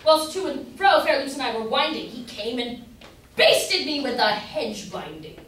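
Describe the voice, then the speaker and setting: A woman's voice: sits high, big pitch swings, moderate pace, a bit bright. A woman playing a whining, foolish jilted young suitor, reciting plaintive verse in an amateur staged reading. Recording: roomy, distant mic, faint noise.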